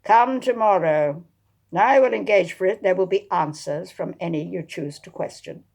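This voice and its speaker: An imperious old dowager as played by a woman reader from a historical novel. Her voice is husky and full of gravel. She barks her scorn like someone used to ruling a whole family. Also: fairly low pitch, normal speed, a little mellow. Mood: taunting. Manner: barking, domineering, contemptuous. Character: imperious, scornful elderly aristocratic matriarch. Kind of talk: dramatised historical novel reading.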